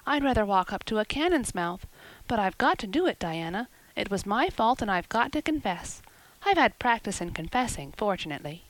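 A woman's voice: medium pitch, very animated, a little fast, no room sound, voice pushed up, lightly acted, low-quality recording. Woman voicing an earnest, dramatic young girl. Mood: earnest pleading. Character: eager talkative orphan girl, dramatic and earnest.

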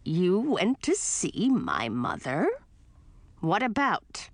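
A woman voicing a cranky, rude witch aunt with a raspy, lizardy voice in a storybook reading. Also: fairly low pitch, huge pitch swings, slow pace, dry recording, full character voice. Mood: gruff, scornful. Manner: barking, grumbling, dismissive.

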